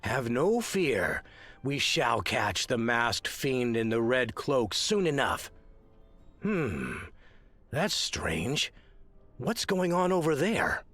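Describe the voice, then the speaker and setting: A man's voice: medium pitch, big pitch swings, slow pace, fairly bright tone, faint music behind. A man playing a confident, reassuring detective in a video game, with an assured theatrical delivery. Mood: assured, curious. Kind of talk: theatrical game cutscene acting.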